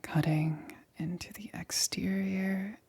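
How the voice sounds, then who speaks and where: A woman playing a nervous, methodical lab assistant in a whispered ASMR roleplay, speaking haltingly in a breathy whisper. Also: mid-range voice, steady tone, mellow, slight background noise. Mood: anxious, careful. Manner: hesitant, halting, repeats reassurances.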